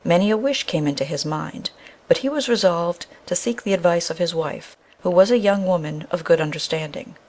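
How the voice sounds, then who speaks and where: A woman's voice: on the low side, normal speed, a little mellow. A woman narrating a scripted fairy tale as a storyteller.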